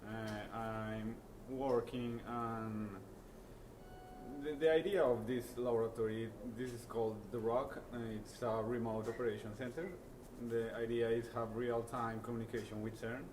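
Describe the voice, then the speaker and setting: Male lab worker, Colombian accent, mid-range voice, hesitant explanatory delivery, clearly noisy indoor recording. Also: mid-range voice, steady tone, normal speed, a little mellow. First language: Spanish. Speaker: lab worker being interviewed. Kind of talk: informal explanatory interview. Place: indoors in a remote operations lab. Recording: noisy on-the-spot interview in lab.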